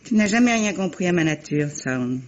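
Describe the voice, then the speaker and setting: A woman's voice: on the low side, expressive, moderate pace, a little mellow, lightly acted. Vintage radio drama, noisy and dull: actress as teasing, self-possessed woman novelist with wry irony. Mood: cool, pointed. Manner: ironic, teasing, composed. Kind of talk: 1950s French radio drama acting.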